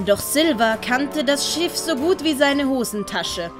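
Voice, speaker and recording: Swiss German woman for children's story narration, fairly high voice over prominent music and effects. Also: lively intonation, a little slow. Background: dramatic music and sound effects.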